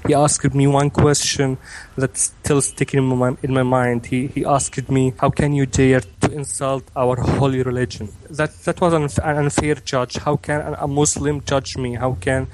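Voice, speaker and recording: A man in his twenties, from Egypt and raised in Kuwait, answering interview questions hesitantly with many pauses and restarts. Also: medium pitch, level tone, background noise. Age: 20s. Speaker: interview guest. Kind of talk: remote interview.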